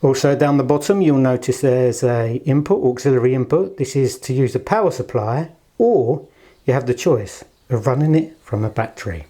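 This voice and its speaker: A man with a mellow voice that is slightly husky presents a product in a casual, chatty style. His pitch moves around in a lively way at a middle height, and he stays polite throughout, speaking to a handheld camera. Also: normal speed. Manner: polite, chatty, fond of understated superlatives. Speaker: product presenter for an audio shop. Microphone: handheld camera held in one hand.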